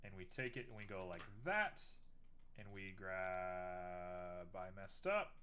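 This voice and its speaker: A man's voice: sits low, animated, takes it slowly, pushed and bright, a little hiss or hum. A young man streaming live while making art, chatting casually and teasing himself as he works. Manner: chatty, self-mocking, thinks aloud while working.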